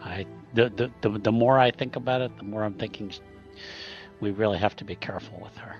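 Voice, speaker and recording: A man's voice: fairly low pitch, fairly even intonation, fairly quick. A man talking casually in a tabletop roleplaying game, tentative and repeating words as he thinks. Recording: slightly dull audio with music behind.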